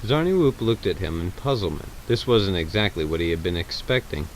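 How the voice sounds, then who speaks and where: Male audiobook narrator, low register with animated inflection, slight noise behind the voice. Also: a little fast, low-quality recording. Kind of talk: scripted audiobook narration with acted dialogue.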